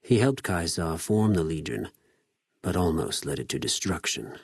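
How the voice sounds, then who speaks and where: An actor playing a cold, cunning spy of a Roman-styled slaver army in a video game, speaking in a measured, formal, menacing way with precise diction. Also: male voice, low voice, lively intonation, vocal fry, slightly rough, dry recording, clean studio sound, full character voice. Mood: cool, calculating. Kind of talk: scripted video game character dialogue.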